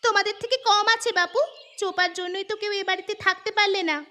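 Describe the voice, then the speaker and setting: Woman playing a shrill, snappy household scold. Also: fairly even intonation, fast, sharp tone. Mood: indignant, complaining. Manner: snappy, complaining. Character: sharp-tongued younger woman of the household, quarrelsome. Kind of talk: cartoon family drama acting. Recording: music behind the voice.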